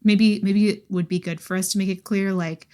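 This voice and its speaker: A woman's voice: medium pitch, steady tone, moderate pace, darkish tone. A woman chats casually as a podcast co-host. She talks informally and with animation, sprinkling in filler words and breaking easily into giggles. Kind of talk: casual book chat.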